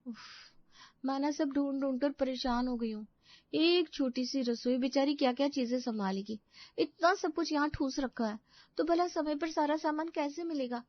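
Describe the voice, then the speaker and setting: A woman plays an exasperated young wife in a family story. Her voice is very high, whiny and emphatic, in a dry recording. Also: moderate pace, dry recording, highs cut off, close to an everyday voice. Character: young, exasperated, complaining younger daughter-in-law.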